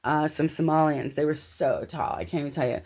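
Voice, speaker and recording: An American woman from Los Angeles talking casually and telling personal anecdotes in an animated, slangy way. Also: sits low, brisk. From Los Angeles, United States.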